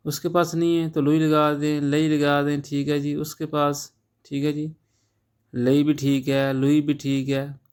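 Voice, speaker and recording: A man giving a language lesson at an unhurried pace. His voice stays level with little rise and fall. He explains step by step and keeps checking that his listeners follow. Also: a little high, little pitch movement, a little mellow, clean tone. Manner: patient, repeats phrases and checks in often. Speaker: language teacher.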